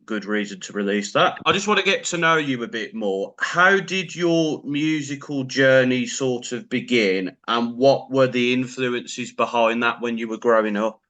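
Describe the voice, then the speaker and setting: A man's voice: medium pitch, bright, forceful tone. A man hosting a casual interview, asking questions in an encouraging, affirming way. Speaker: interviewer host.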